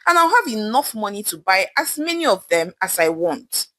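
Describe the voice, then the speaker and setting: A woman's voice: mid-range voice, very animated, moderate pace, a bit bright, dry recording. A woman voicing a proud, scornful young gold digger in a folktale, boastful and dismissive, with a Nigerian accent.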